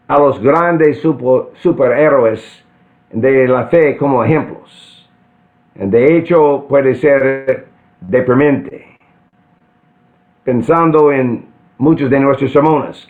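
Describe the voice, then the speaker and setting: An older man from central Kansas with an American accent, preaching an earnest sermon and pausing to restart phrases. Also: mid-range voice. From central Kansas, United States. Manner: deliberate, earnest, with frequent restarts. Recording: tinny phone-like sound.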